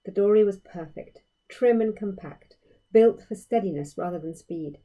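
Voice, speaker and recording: A woman reading a children's story aloud in a measured, expressive storytelling way, leaning on key phrases. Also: mid-range voice, rough recording. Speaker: author reading own story. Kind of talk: expressive children's audiobook narration.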